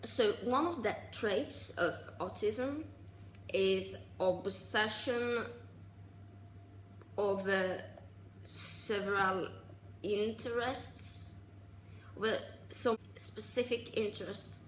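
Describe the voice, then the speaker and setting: A woman around twenty talking casually in a personal vlog, halting and restarting, with sarcastic asides. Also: mid-range voice, fairly bright tone, roomy sound, slight background noise, rough recording. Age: 20s. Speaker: vlogger.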